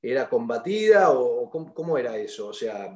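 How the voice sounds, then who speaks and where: A man hosting an interview, talking haltingly with many fillers and repeated words. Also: medium pitch, sing-song, warm tone, roomy sound. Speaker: interviewer host. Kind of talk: hesitant conversational interview.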